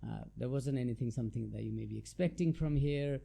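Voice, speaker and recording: A man's voice: medium pitch, fairly quick, vocal fry, warm tone. A middle-aged man from Nepal, a tax adviser answering interview questions in a thoughtful, hesitant way with many fillers. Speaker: guest, chartered tax adviser.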